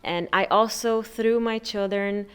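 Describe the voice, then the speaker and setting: A woman's voice: medium pitch, fairly even intonation, measured pace, smooth. A middle-aged woman with a Czech accent speaking informally to a group, searching for words as she goes. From Czech Republic. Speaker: parent community service representative.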